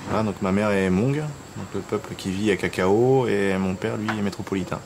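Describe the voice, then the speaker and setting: A man's voice: fairly low pitch, moderate pace, creaky, darkish tone. A man from French Guiana giving a spontaneous interview in a reflective, hesitant way. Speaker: engineer interviewee. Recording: faint noise with insect sounds.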